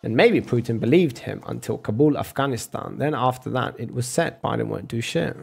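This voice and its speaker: A man's voice: mid-range voice, animated, normal speed, vocal fry, breathy edge. A man in a casual argumentative rant, sarcastic and sweary, calling the listener bro. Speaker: streamer arguing with a chat viewer.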